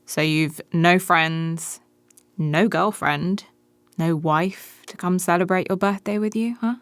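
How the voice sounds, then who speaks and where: A woman's voice: on the low side, takes it slowly, no echo. An actress playing a brash, foul-mouthed, prickly and weary woman in a radio drama, speaking bluntly and sardonically. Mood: blunt, probing. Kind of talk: naturalistic radio drama acting.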